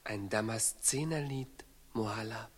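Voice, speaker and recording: An actor in a radio drama playing a loyal, practical, worried servant disguised as a beggar, deferential and urgent in the telling. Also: male voice, lowish voice, unhurried, husky. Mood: quietly deferential. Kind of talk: classic German radio drama acting.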